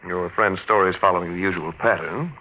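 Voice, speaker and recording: An actor playing a cocky young male attorney in a 1940s radio mystery, brisk, curt and dismissive. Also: deep voice. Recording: muffled, noisy 1940s radio recording.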